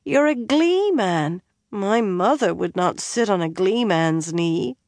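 A woman voicing a tipsy, proud young noblewoman who turns tearful, in a high, pleading voice. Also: animated, takes it slowly, a little mellow, no room sound, clean studio sound. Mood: petulant.

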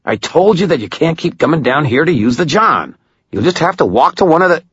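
A man's voice: on the high side, sing-song, a little fast, fairly bright tone, husky, no echo, dull audio, voice pushed up, heavily acted. A man voicing an irritable male henchman, snarling and scolding. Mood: irritated.

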